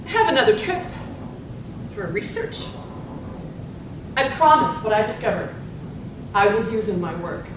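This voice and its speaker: A woman's voice: big pitch swings, unhurried, long pauses. A woman playing an earnest, eager newspaper reporter in a live stage play, recorded in an echoey room. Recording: echoey noisy room, distant mic, low rumble.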